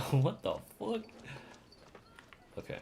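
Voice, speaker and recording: An offhand, wry game streamer with a pitch that swings widely between remarks. The mic is clearly noisy, with game audio underneath. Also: big pitch swings, takes it slowly, warm tone. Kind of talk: casual game stream commentary.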